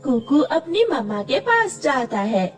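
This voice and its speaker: Woman, high lively voice, children's story narration, slightly distant mic, music behind. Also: unhurried.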